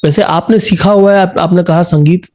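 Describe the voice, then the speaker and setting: Man, Indian accent, slightly high pitch, very mellow tone, encouraging, conversational coaching style, some English phrases. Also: fairly even intonation, a little fast. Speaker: singing coach and host.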